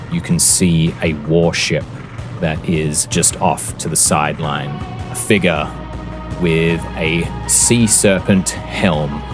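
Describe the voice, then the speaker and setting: A man working as a game master, narrating a tabletop fantasy game in a measured, deliberate, descriptive storytelling manner. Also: deep voice. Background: background music.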